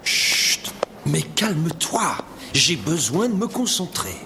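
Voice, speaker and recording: Commanding, swooping cartoon warrior. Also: male voice, on the high side, very animated, takes it slowly, creaky, noisy background, full character voice. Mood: terse. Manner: dramatic, imperious. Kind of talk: French-dubbed 1990s cartoon acting. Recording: loud music behind cartoon dialogue.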